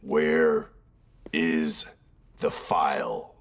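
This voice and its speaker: An actor playing a menacing, coldly impatient masked heist leader in a video game, with a threatening delivery. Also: male voice, rumbling low, fairly even intonation, very slow, gravelly, full character voice. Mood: cold menace.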